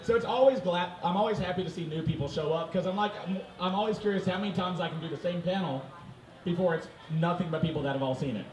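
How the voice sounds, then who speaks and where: A man hosting a fan convention panel, joking with co-panelists and the audience, lively and teasing. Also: sits high, fast. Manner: lively, teasing, laughs often. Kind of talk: casual joking panel talk. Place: large convention hall with audience. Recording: echoey hall, far off, crowd noise.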